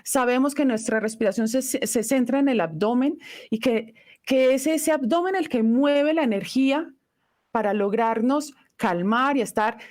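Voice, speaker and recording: A middle-aged woman, a native Italian speaker, teaching voice in a live online session. She talks in a reflective, unhurried way, pausing as she gathers her thoughts. Her voice sits a touch higher than most. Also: a little mellow.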